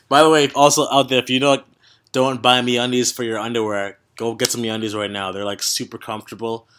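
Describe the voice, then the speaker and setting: A man's voice: mid-range voice, level tone, moderate pace, bright, forceful tone, clean tone. A young man hosting a casual podcast, joking, teasing his co-host and rambling between topics. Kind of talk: casual chat.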